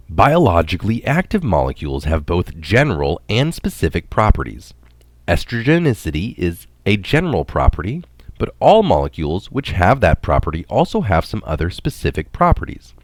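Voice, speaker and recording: A man with a low-pitched voice narrates scripted scientific prose. His intonation moves widely as he carefully sets out technical terms. The recording is close and dry. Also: no echo. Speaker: narrator reading an article aloud.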